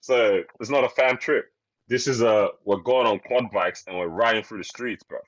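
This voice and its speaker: Podcast co-host, male, very deep voice, rapid slangy delivery, muffled call audio. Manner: slangy, laughs often, says bro a lot. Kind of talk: casual chat. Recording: dull lo-fi video call audio.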